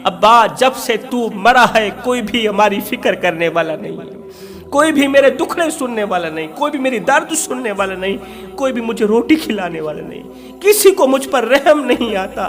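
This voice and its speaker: Man voicing a plaintive hungry orphan boy, high-pitched. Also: expressive, moderate pace, fairly bright tone, soft background music. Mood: grieving, pleading. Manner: plaintive, repetitive lamenting. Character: hungry grieving six-year-old orphan boy, pleading.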